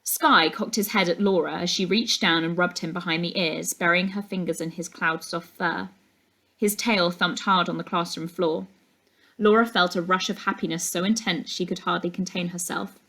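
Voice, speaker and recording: A woman teacher reading aloud and chatting to her class in an everyday mid-pitched voice. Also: steady tone, moderate pace, bright, forceful tone. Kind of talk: animated storybook read-aloud with plain chat after.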